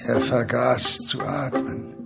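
An actor playing the solemn narrator of a radio drama, gravelly and husky, chanting poetic lines. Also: male voice, medium pitch, little pitch movement, slow pace, loud music behind the voice. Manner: solemn, incantatory. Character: solemn narrator of a jungle tale.

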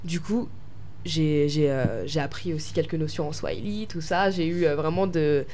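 A woman's voice: sits low, normal speed, dark tone, a little hiss or hum. A young woman from France answering interview questions as a pageant winner, hesitant and full of fillers and restarts. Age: young adult.

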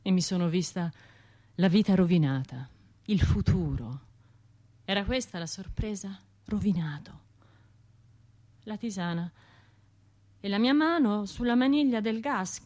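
Radio play monologue: actress as haunted female survivor, medium pitch, self-blaming, obsessive broken phrasing. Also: animated, unhurried, pauses often, natural voice. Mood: despairing, guilty. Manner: fragmented, repetitive, obsessive delivery with hushed and surging phrases. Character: traumatised Italian woman survivor, guilt-ridden, self-reproaching, haunted.

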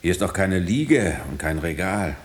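An actor playing a mysterious, insistent man in hiding who conjures visions in halting, suggestive phrases in a radio play. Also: sits low, sing-song. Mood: insistent, conjuring. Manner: halting, suggestive phrases, sometimes dropping to whispers.

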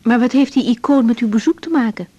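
A woman's voice: on the high side, quick pace, warm tone, slightly rough, highs cut off. An actress playing a young woman doctor in a radio drama, direct and caring with a firm temper. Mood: annoyed, firm. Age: young adult. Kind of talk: naturalistic Dutch radio drama acting. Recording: faint background noise.

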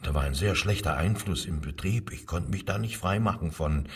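Nervous submissive foreman being grilled, low husky voice, halting, defensive, radio drama. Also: recorded from a distance. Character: cowed, evasive male factory foreman under interrogation. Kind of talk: German radio crime drama acting.

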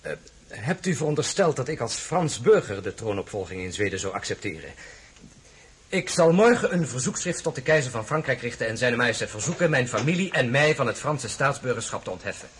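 A commanding marshal prince, played by a male actor in an old radio drama. He is impatient and dignified, and his pitch swings widely. There is light noise on the recording. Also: sing-song, a little fast, natural voice. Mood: formal, solemn. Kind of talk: radio play acting.

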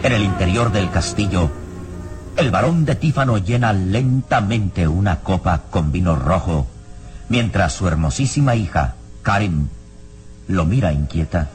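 Low-voiced male radio serial narrator over music. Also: animated, measured pace, darkish tone.

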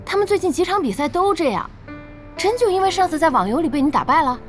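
A woman playing a lively, bossy young gaming teammate in an audio drama, expressive and quick to chide. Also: extremely high pitch, soft background music. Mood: worried. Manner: expressive, chiding, exclamatory.

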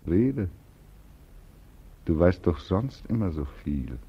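Despairing young veteran, radio drama, low, mellow and slow, bitterly sardonic. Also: male voice, sing-song, husky, dull audio, exaggerated voice. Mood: accusing, sardonic. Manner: brooding, accusing, sardonic. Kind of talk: 1940s radio drama acting.

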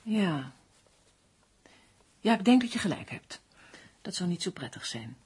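Husky, haughty, tense woman novelist. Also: lowish voice, very animated, measured pace, darkish tone, heavily acted. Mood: cool, brisk. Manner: dramatic, haughty, demanding. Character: tense, sharp-tongued female crime novelist under threat. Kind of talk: radio drama acting.

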